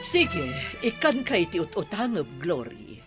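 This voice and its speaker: An actress with an Ilocano accent playing a kindly, generous mature madam in a radio drama. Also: female voice, medium pitch, very animated, measured pace, music in the background.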